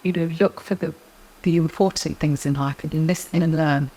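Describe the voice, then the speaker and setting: A middle-aged woman speaks quietly and evenly as an interview guest. She gives advice in a calm, measured way. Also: low-pitched, poor audio. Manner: soft-spoken, measured, advice-giving. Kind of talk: interview conversation.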